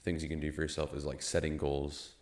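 A young man hosting a podcast, musing in casual chat with halting restarts and frequent "you know". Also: very deep voice, completely flat delivery. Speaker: podcast host.

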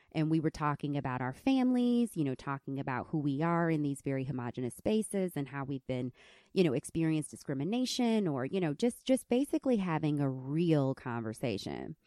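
A woman in her thirties hosts her own podcast with a country accent and a squeaky edge, her low, mellow voice swinging widely in pitch. She tells a personal story candidly, laughing now and then. The recording is dry and close. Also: big pitch swings, moderate pace, dry recording. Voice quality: squeaky, high voice. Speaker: podcast host. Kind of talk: personal reflective podcast monologue.

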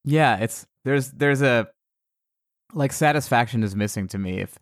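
An American man chatting casually as a podcast guest, telling animated, rambling stories. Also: mid-range voice, a little slow, dry recording, clean studio sound. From United States. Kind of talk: casual chat.

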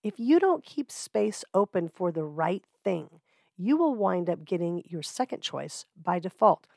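Hoarse, gravelly-voiced woman podcast host. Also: fairly low pitch, very animated, a little slow, darkish tone, no echo, studio quality. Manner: chatty, candid, laughs easily. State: hoarse from a cold. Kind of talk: solo podcast talk.

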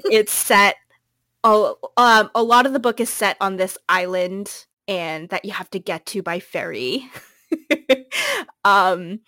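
Woman, podcast co-host, slow unhurried pace, rambling with lots of ums, dry close sound. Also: medium pitch, fairly even intonation, bright, forceful tone, dry recording. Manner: rambling, many ums and likes, laughs often. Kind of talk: casual book recommendation chat.

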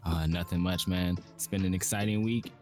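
A man's voice: deep voice, steady tone, moderate pace, a little mellow, faint music behind. A man co-hosting a podcast, answering briefly in an easygoing way and laughing along. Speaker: podcast co-host. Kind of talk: casual podcast chat.

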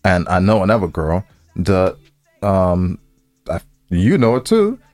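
A man's voice: low-pitched, big pitch swings, measured pace, a little mellow, no room sound, faint music behind. A man co-hosting a podcast with playful, slangy, joking banter, swearing freely and repeating his phrases. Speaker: podcast co-host.

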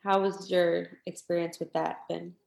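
A woman hosting a casual interview who asks open, encouraging questions in a fairly low voice. Her voice carries a noticeable room echo. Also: level tone, measured pace, gravelly, a little mellow. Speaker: interview host. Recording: echoey room.

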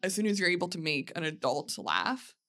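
A woman's voice: fairly low pitch, big pitch swings, fairly quick, a bit bright. A young adult woman in casual podcast conversation as a guest, speaking with a wry, bantering manner. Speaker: podcast guest, comedian and producer. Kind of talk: casual chat.

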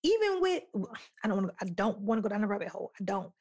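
A young adult woman talking candidly on a podcast, telling stories in an animated, emphatic way. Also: mid-range voice, fast.

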